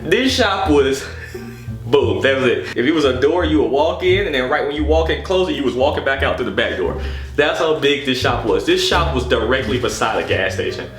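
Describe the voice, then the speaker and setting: A man telling a story casually and comedically, in an exasperated, animated, slangy way with plenty of swearing. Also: mid-range voice, brisk. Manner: animated, exasperated, profane, slangy with repeated "you know what I'm saying". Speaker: storytelling vlogger. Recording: echoey room with music behind.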